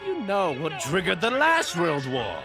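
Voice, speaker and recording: An actor playing a manic, theatrical supervillain clown in a comic dub, unhinged and swinging between shouts and mutters. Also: male voice, a little high, huge pitch swings, normal speed, over music. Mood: mocking outrage. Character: manic, theatrical middle-aged male supervillain clown madman.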